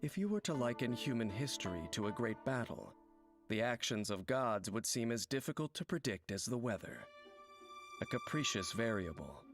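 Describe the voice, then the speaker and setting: Male trailer narrator, medium pitch, measured and formal storytelling under prominent loud music. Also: sing-song.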